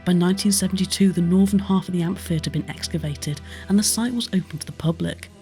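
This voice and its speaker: A woman's voice: fairly low pitch, steady tone, fast, mellow. A woman with a British accent, giving scripted narration as a history tour presenter. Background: background music.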